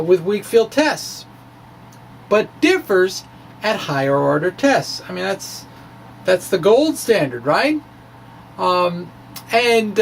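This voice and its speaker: Middle-aged reader over clear background noise. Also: mid-range voice, rough recording. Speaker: amateur physicist and blogger. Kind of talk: informal dramatic reading of a science blog. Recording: background noise, ambient sound.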